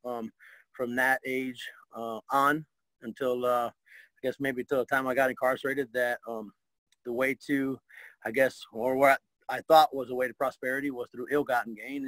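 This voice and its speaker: A man from Michigan, telling his life story as an interview guest, hesitant, with many pauses and restarts. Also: mid-range voice, a bit bright, dry recording, poor audio. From Michigan, USA. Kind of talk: casual spoken life story.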